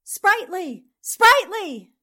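An actress playing a brave, sharp young newspaper reporter in a 1940s-style radio mystery, speaking in an earnest, theatrical way. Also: female voice, extremely high pitch, big pitch swings, very slow, full character voice. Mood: frightened.

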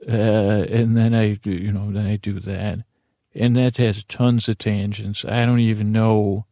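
A man hosting a bedtime podcast in a meandering monologue, halting and interrupting himself as he drifts into tangents. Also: fairly low pitch, level tone, dark tone, dry recording, clean studio sound. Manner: rambling, halting, self-interrupting with trailing tangents. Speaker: podcast host.

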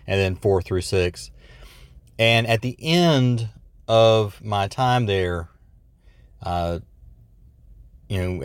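An American man with a slightly low voice and a dry, close sound. He talks casually as a podcast caller, thinking aloud in halting, reflective phrases. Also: no echo. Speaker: podcast call-in listener. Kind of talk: casual voice message.

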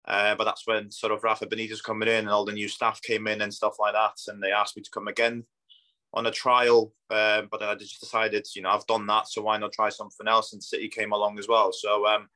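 A man in his thirties with a fairly deep, forceful voice and little melodic swing. His accent blends Polish with Scandinavian and northwest English, Polish being his first language. He talks as a footballer in a relaxed interview, plainly and conversationally. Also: a little fast. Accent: Polish mixed with Scandinavian and northwest English. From Poland. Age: 30s. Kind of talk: casual interview chat.

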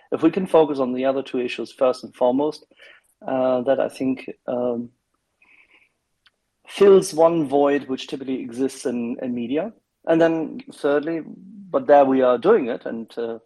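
A man's voice: mid-range voice, gravelly, mellow, husky, low-quality recording. A man hosting a casual panel discussion, speaking earnestly with some hesitant fillers.

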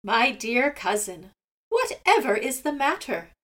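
A woman voicing a sly male raven, a cunning trickster who croons smoothly and turns impatient in a storybook tale. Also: a little high, sing-song, a little slow, a bit bright, exaggerated voice.

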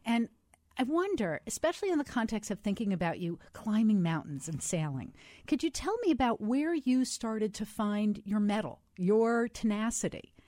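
A woman interviewer from the United States, asking questions in a warm, attentive manner. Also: mid-range voice, very animated, normal speed, no echo, clean studio sound.

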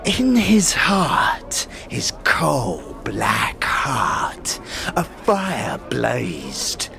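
Wildly swooping, half-mad beggar storyteller. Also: male voice, mid-range voice, huge pitch swings, extremely slow, creaky, sharp tone, exaggerated voice. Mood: feverish, menacing. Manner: repeats phrases, inverted syntax, dramatic swoops into whispers. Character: wary, theatrical, grimy beggar storyteller, greedy and half-mad. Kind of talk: theatrical audio drama storytelling. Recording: music under the voice. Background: music.

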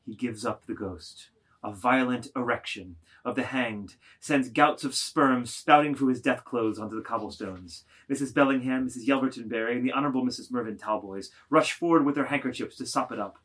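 Husky male literary narrator. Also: medium pitch, a little fast, fairly bright tone. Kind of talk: literary narration of stage directions.

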